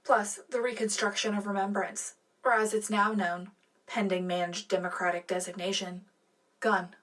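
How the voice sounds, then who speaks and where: Woman acting female propaganda radio anchor, medium pitch, poised, earnest, scripted broadcast narration, sci-fi radio drama. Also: little pitch movement, moderate pace, fairly bright tone, natural voice, rough recording. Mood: poised, rousing. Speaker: radio show co-host.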